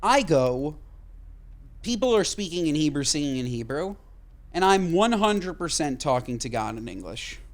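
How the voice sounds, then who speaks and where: Man, podcast co-host, casual chat, emphatic, profane, slightly high voice. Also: sing-song. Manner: emphatic, swears casually, talks in quick bursts.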